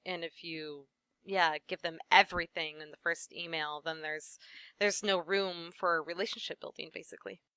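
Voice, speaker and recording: Bright, crisp-voiced woman giving advice. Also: fairly low pitch, fairly even intonation, moderate pace, no room sound. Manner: thoughtful, advising, trails off softly at ends. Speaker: voice actress, guest. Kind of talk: casual interview chat.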